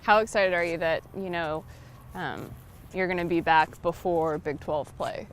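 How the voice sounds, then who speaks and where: A woman's voice: on the low side, measured pace, slight background noise. Chatty young woman sports reporter. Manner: friendly, chatty questions with some fillers. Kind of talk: interviewing players and coaches.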